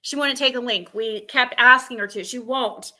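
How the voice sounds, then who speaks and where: A woman hosting a livestream, talking casually and haltingly, thinking aloud as she rambles through asides. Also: fairly high pitch, pushed and bright. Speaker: livestream panel host.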